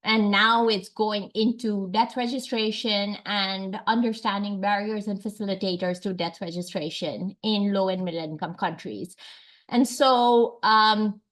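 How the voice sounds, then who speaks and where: A woman's voice: mid-range voice, unhurried, fairly bright tone. A woman from Tanzania speaking earnestly and reflectively at a faculty seminar. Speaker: faculty researcher. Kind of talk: seminar talk. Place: seminar room.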